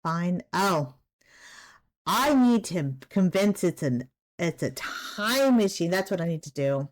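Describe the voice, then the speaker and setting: A woman doing a casual let's-play, reading game text aloud and murmuring to herself in a halting way. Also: lowish voice, animated. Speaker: gaming streamer playing a visual novel.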